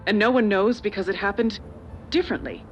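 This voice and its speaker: A woman's voice: medium pitch, muffled recording, soft background music, lightly acted. A middle-aged woman playing a calculating, slightly condescending corporate official and parent in a dramatic video game. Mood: measured, evasive. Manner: measured, persuasive, slightly condescending. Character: older female corporate official and parent, calculating, persuasive, patronizing.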